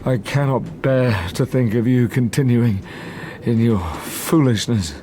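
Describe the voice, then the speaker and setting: An actor playing a dying, tormented man who gravely narrates his past in a formal audio drama. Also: medium pitch, unhurried, warm tone, slight background noise, close to an everyday voice. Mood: anguished, grave.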